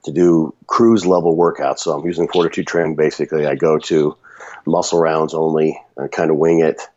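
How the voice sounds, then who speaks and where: A man with a cold and a raspy voice, talking casually on a podcast with many restarts and fillers. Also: extremely low pitch, little pitch movement, fairly quick, dark tone, dull audio. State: sick with a runny nose.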